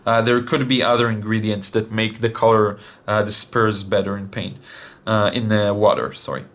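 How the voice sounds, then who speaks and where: A young man demonstrating watercolor paints, talking casually with many fillers, his voice still a little deep after being sick. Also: normal speed. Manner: relaxed, thinking aloud with many fillers. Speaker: art channel host.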